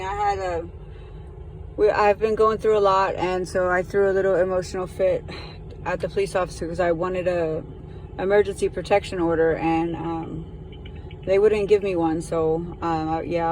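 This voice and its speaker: A woman speaking hesitantly and haltingly as a caller explaining a situation on a phone call. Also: fairly low pitch, darkish tone. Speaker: caller reporting to sheriff's department. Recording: noisy phone call, car and traffic noise.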